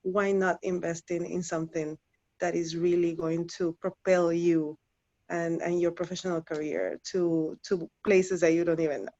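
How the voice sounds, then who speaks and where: A woman in her thirties from Guatemala, a native Spanish speaker, chatting as an interview guest with many halting restarts. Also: lowish voice, fairly even intonation, warm tone, lo-fi sound. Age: 30s. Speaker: interview guest, former client. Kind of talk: casual interview chat.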